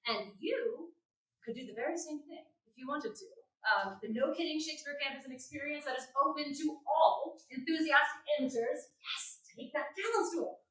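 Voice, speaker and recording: A woman's voice: sits high, moderate pace, a bit bright. An enthusiastic woman giving an emphatic live welcome speech as she introduces a stage show. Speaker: education programs manager introducing a show. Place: theatre stage before an audience. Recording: echoey theatre, distant microphone.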